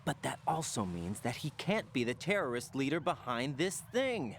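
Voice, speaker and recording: A man's voice: mid-range voice, very animated, moderate pace, a little hiss or hum. An actor playing an earnest, inquisitive young male operative in a video game cutscene.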